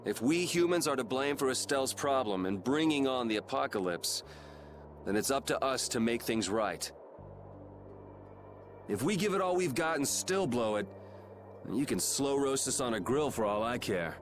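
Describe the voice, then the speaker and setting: A man's voice: mid-range voice, expressive, normal speed, close to an everyday voice. A man playing a defiant, sardonic young hero in a video game, speaking casually and bluntly with a cocky edge. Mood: wry, blunt. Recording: clear background noise under game dialogue.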